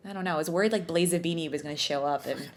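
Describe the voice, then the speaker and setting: A woman's voice: low voice, very fast. A woman co-hosting a podcast in casual, comedic chat with a playful, bawdy way of joking. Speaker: podcast co-host.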